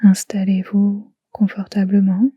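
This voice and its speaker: A woman guiding a relaxation meditation in a soft, hushed, soothing way, in short phrases with long pauses. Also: mid-range voice, monotone, slightly rough, no echo, lo-fi sound. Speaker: yoga nidra guide.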